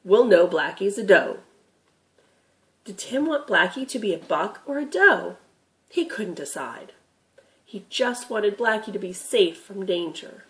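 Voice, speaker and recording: A woman's voice: medium pitch, lively intonation, a little slow, bright, forceful tone. A woman narrating a children's story as a scripted dramatic reading.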